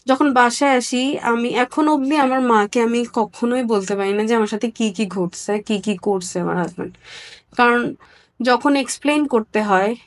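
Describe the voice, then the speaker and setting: A young woman with a Bangladeshi accent giving a candid personal account in an interview, speaking earnestly and haltingly, with emphatic repetition. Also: on the high side. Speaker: interview guest, nurse.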